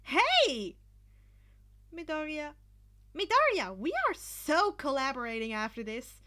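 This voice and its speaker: A woman voicing a brash, excitable teenage girl in an anime fan story, loud and eager, exclaiming her lines. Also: high-pitched, slow, pitched above the performer's own voice, heavily acted. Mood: cheering.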